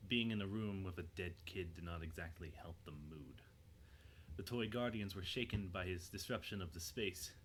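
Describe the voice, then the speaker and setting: A man narrating a scripted dramatic story in a measured, suspenseful way. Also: sits low, a bit bright, slight background noise.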